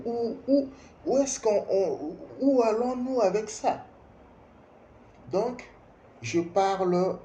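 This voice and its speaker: A man's voice: high voice, warm tone. A man in his fifties from Kinshasa with a Congolese accent, speaking emphatically to parents, repeating words and asking rhetorical questions. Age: 50s. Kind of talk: spoken opinion piece addressing parents. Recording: roomy echo, faint background noise.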